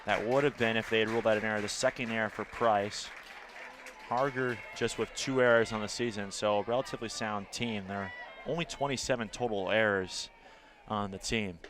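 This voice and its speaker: A man with an American accent doing live play-by-play commentary of baseball and softball as an announcer. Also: lowish voice, fairly even intonation, fairly quick, crowd noise.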